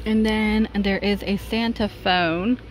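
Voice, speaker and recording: A woman's voice: mid-range voice, fairly even intonation, slow pace, over music. A woman vlogger giving a chatty shopping walkthrough, laughing often, filmed on a handheld phone in a large store. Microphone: handheld phone camera. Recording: noisy store background.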